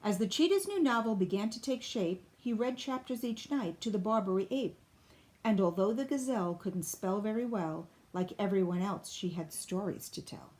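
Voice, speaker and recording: A woman reading a children's picture book aloud in an expressive, deliberate storybook style. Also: medium pitch, low-quality recording. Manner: deliberate, clearly phrased storybook delivery.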